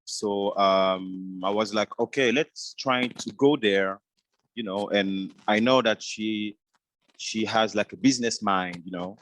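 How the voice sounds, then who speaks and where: Low-voiced man with a French accent. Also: a bit bright, low-quality recording. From Switzerland. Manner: halting, repeats "you know" constantly. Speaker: interview guest, businessman. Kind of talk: casual conversational interview answers.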